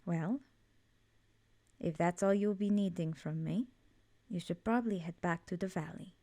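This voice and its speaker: A woman playing an ancient, ominous yet fond swamp witch in an ASMR roleplay, soft-spoken and breathy, often slipping into a whisper, with a measured, cryptic manner. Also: fairly low pitch, no echo, close to an everyday voice. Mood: calm, matter-of-fact. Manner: measured, cryptic, solemn, addressing listener as dear.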